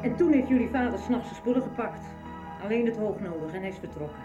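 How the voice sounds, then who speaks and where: A woman's voice: on the high side, expressive, quick pace, far from the mic, lightly acted. A woman playing a worried mother in a radio soap, recorded muffled and roomy, away from the microphone. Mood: grave, hesitant. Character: worried mother telling a painful family secret. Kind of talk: 1990s radio soap acting. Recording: muffled, roomy, off-mic, faint music.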